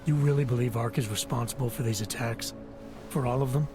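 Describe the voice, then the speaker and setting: A man playing a gruff male special agent in a video game, clipped and skeptical. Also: a little fast, music in the background, lightly acted. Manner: clipped, low-key and skeptical.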